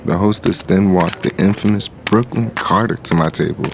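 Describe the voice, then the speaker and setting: A man acting a self-made street hustler who narrates an audio drama. His low voice is confident and reflective, smug yet smitten. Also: normal speed, gravelly, a little hiss or hum. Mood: smitten, self-assured. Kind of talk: scripted first-person storytelling narration.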